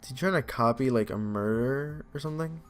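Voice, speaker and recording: Let's play host: young adult male, mid-pitched, casual and rambling, faint game music underneath. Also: fairly quick. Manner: rambling, self-deprecating. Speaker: gaming video host. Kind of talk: casual let's play commentary and reading aloud.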